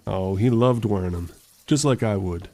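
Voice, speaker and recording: A man's voice: fairly low pitch, normal speed, warm tone, close to an everyday voice. A man playing a genial, patient, wry lawyer suitor in an old-time radio drama. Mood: teasing.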